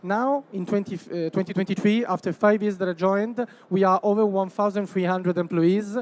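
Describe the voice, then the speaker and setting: High-voiced man answering on a panel. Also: steady tone, normal speed. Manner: conversational, repeating filler phrases. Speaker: company executive on a panel.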